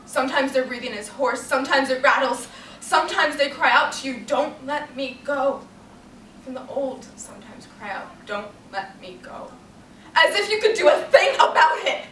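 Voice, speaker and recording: A young woman acts out an anguished, bitter woman in a stage tragedy. Her high, sharp voice is theatrical and drawn-out, accusing and grief-stricken. It is recorded in a roomy space away from the mic. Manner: theatrical, drawn-out, swelling to shrill outbursts. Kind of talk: dramatic stage monologue. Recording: roomy, distant mic, faint noise.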